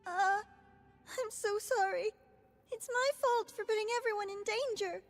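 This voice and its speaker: A woman's voice: very high voice, a little slow, long reverb tail. A woman voicing an earnest, eager young girl student in a video game. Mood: apologetic.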